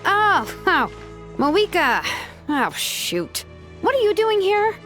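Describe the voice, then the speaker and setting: Game casting: dodgy, offhand young craftswoman, high-pitched with swinging intonation, quick to get flustered. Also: huge pitch swings, a bit bright, soft background music, full character voice. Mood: startled. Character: evasive, casual young craftswoman, easily flustered. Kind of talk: video game voice acting.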